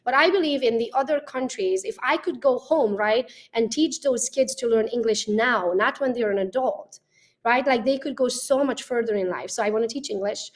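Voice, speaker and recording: Energetic Romanian-accented woman realtor. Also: a little high, normal speed. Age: 30s. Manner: energetic, emphatic, peppers talk with "right?". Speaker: guest realtor. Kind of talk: podcast interview.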